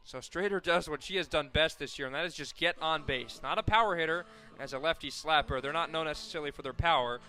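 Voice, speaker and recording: An American man calling live softball play-by-play as a radio announcer, conversational and ready to lift with the action. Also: fairly high pitch, fast, hardly any pauses. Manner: conversational between plays, excited calls on action.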